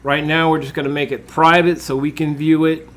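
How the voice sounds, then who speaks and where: A man, congested from a cold, talking through a screen-recorded software tutorial in a relaxed way, with lots of uh and um. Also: a little high, fairly quick. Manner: relaxed, with frequent uh and um fillers. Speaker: tutorial host.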